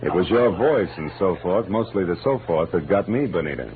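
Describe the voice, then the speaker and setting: An American man playing a wry, world-weary private eye in a 1940s radio detective drama, with deadpan, clipped delivery. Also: sits low, a little fast, crowd noise. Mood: flirtatious, wry. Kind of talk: 1940s radio detective drama, first-person narration and dialogue. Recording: muffled old radio recording, music behind.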